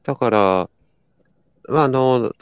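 A man with a Kansai accent chatting casually, thinking aloud in a halting way. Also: mid-range voice, smooth, dry recording. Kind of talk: casual chat.

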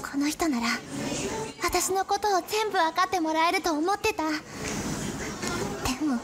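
Woman acting a high-pitched, wounded, prim schoolgirl. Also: moderate pace. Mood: hurt, pleading. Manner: reproachful, prim feminine phrasing. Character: hurt, bitter high school girl breaking off a friendship. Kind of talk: Japanese radio drama acting.

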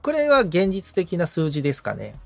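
A man talks as the assistant on a coaching podcast. His delivery is casual but polite, thoughtful and somewhat tentative. His pitch moves in a lively way, and faint music plays behind him. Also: moderate pace, gravelly. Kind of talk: casual conversation, asking and musing.

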